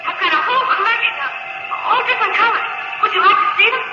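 A performer playing an excited, eager young boy in an old radio drama, on a muffled recording. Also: very high voice, fast, heavy background noise. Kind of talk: 1950s radio drama acting.

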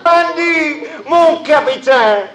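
A man's voice: very high voice, lively intonation, slow pace, a crowd in the background, exaggerated voice, low-quality recording. A man playing an effusive Frenchman, an old army pal, in a 1950s radio comedy. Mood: overjoyed.

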